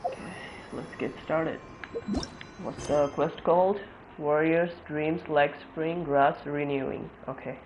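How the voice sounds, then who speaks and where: Casual, higher-pitched male game streamer. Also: steady tone, takes it slowly, lo-fi sound, a little hiss or hum.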